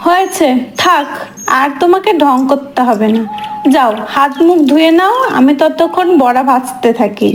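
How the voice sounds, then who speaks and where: A woman voicing a brisk village wife. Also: high-pitched, expressive, a little hiss or hum. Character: village wife who cooks, young adult. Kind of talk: cartoon voice acting.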